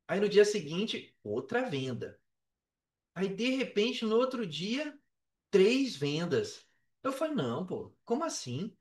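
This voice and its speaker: A man with a notably high voice and a Brazilian Portuguese accent speaks as a podcast guest. He tells stories casually in slangy, repetitive bursts and acts out what people said. The sound is roomy and a little far from the mic. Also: very animated, slow pace, darkish tone. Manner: slangy, swearing, emphatic repetition, acts out reported dialogue. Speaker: podcast guest, affiliate marketer. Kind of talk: casual, animated personal storytelling on a podcast. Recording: roomy echo, distant from the mic.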